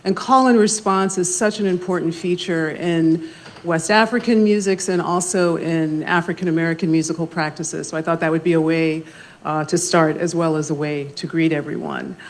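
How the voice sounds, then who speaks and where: A soft-spoken woman moderating a library panel discussion, speaking in a measured way with frequent pauses and ums. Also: low-pitched, fairly even intonation. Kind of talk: panel discussion opening talk. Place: library event room. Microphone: handheld mic.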